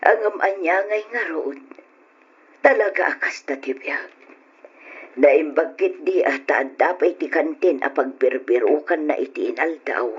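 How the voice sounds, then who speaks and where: A woman playing an anxious elderly wife and mother, fretful and plaintive with a raspy voice, in an old-style radio drama. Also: low voice, measured pace, heavily acted. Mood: worried. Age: older. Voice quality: husky, raspy. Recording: muffled radio recording.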